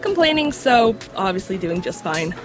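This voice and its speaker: A woman's voice: mid-range voice, sing-song, normal speed, over loud music, natural voice. A woman playing a clever talking dog who explains myths and reassures friends in an audio drama. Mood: matter-of-fact. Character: clever talking dog companion, confident guide on mythology. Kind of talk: acted audio drama dialogue.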